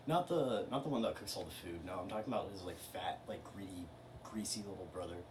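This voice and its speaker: Brief: a man playing a smug slacker philosopher, lowish voice, roomy noisy room, mic set back. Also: fast talker, lightly acted. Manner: laid-back, filler-heavy, boastful. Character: crude boastful male philosopher, slacker drawl. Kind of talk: amateur comedy sketch. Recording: roomy, distant, noisy home recording.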